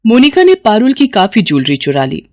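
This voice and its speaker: Woman, story narrator, scripted moral tale, middle-pitched voice. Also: huge pitch swings, brisk, a little mellow, slight background noise.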